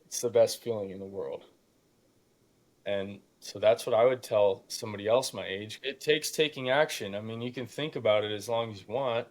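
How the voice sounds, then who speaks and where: A man's voice: fairly low pitch, moderate pace. Emphatic young man, youthful and informal. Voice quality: youthful voice that still cracks at times. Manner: emphatic, informal, acts out imagined dialogue. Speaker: podcast guest, young real estate investor. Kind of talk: casual conversational motivational storytelling.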